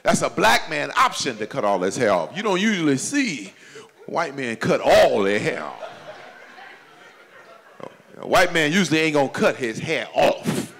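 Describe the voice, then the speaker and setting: A man in his forties from doing stand-up comedy at a church celebration, swinging between loud high exclamations and low confiding asides. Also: sing-song, normal speed, creaky, some chatter behind. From Chicago, USA. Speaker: comedian pastor. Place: banquet hall at a church event. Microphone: handheld microphone. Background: audience laughter.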